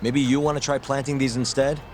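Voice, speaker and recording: An actor playing a cool, principled lawyer-detective in a video game cutscene, with a dry, wry manner. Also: male voice, medium pitch, level tone, fairly quick, slight background noise, natural voice. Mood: exasperated.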